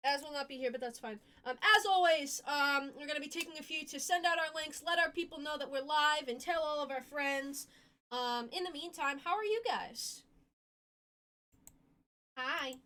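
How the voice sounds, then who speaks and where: A woman hosting a live stream in casual chat, halting and full of "um" fillers. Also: high-pitched, sharp tone. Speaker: podcast host.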